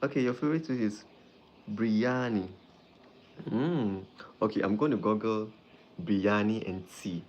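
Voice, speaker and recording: A man from Ghana, hosting a live stream as a doctor and warmly greeting viewers by name. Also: lowish voice, moderate pace, long pauses, lo-fi sound, a little hiss or hum. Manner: friendly, greets viewers by name, repeats phrases.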